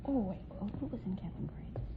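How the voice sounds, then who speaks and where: A woman's voice: mid-range voice, lively intonation, fast talker, in a whisper. A good-humored woman from the Los Angeles area chatting as a contestant on a 1940s radio quiz. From Los Angeles area, United States. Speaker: quiz show contestant, housewife. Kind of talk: conversational answers on a radio quiz. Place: radio studio. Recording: very noisy, dull 1940s radio recording.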